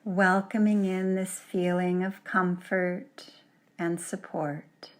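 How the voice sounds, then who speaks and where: A woman yoga teacher leading a guided relaxation in a gentle, soothing manner, leaving long pauses between short phrases. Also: on the low side. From Canada.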